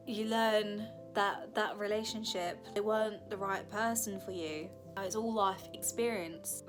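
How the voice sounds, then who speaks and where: A woman in her thirties giving a candid, chatty reflective vlog, sometimes breaking into excited laughter. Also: medium pitch. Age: 30s. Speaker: vlogger.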